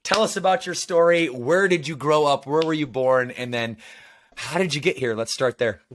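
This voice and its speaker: A man's voice: on the high side, normal speed. An American man hosting a casual interview, enthusiastic and talkative, asking long rambling questions. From United States. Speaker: podcast host.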